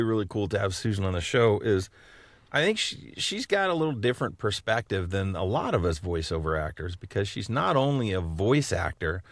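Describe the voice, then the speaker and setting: A man's voice: lowish voice, no echo. An American man hosting a casual interview podcast in a rambling, loosely worded and jokey way. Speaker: podcast host.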